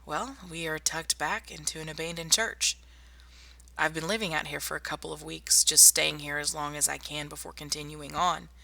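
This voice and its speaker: A woman playing a tough, wry young survivor in a zombie apocalypse ASMR roleplay, speaking softly and intimately to one listener. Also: low voice, gravelly, exaggerated voice. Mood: calm, guarded, caring. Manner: soft-spoken, intimate, addressing one listener. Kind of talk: ASMR roleplay audio drama.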